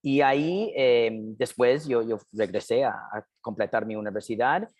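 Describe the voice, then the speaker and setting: A man's voice: mid-range voice, moderate pace, darkish tone, no echo. A man from New Jersey whose first language is English, speaking Spanish with an American accent, a hesitant guest talking in a casual interview, full of fillers. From New Jersey, United States. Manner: hesitant, many "eh" fillers and restarts. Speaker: guest, documentary filmmaker.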